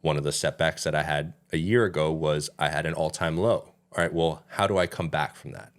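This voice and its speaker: Deep-voiced Danish-born man, chatty podcast co-host. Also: steady tone, brisk. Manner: loose and talkative, lots of "you know" fillers. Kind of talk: casual chat.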